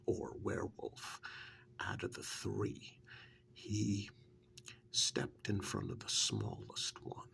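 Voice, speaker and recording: A man playing a witch's assistant in an ASMR role-play, softly and haltingly confiding his rueful, easygoing stories in a breathy, gravelly half-whisper. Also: on the low side, slight background noise, full character voice. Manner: halting, unhurried, confiding, understated.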